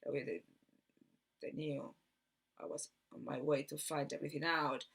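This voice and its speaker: A woman from Barcelona with a Spanish accent tells a rambling personal story in a halting way, repeating words and trailing off. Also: low voice, fairly even intonation, vocal fry, fairly bright tone, breathy edge. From Barcelona, Spain. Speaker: video host telling personal story.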